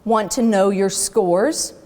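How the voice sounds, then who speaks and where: A woman's voice: medium pitch, slow pace, warm tone, natural voice. Woman reading as a pompous wild boar inspector, echoey. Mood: scornful, booming. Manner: pompous, emphatic, declaiming. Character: officious, blustering wild boar inspector with a clipboard. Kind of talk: children's storybook read-aloud.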